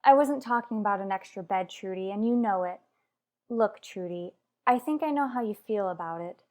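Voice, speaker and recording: A woman acts an unhappy young married woman in a radio drama. Her voice sits at a middle pitch, and she speaks with a worried, defensive edge toward her family. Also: moderate pace, a little mellow, natural voice. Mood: hurt, defensive. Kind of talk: radio soap drama acting.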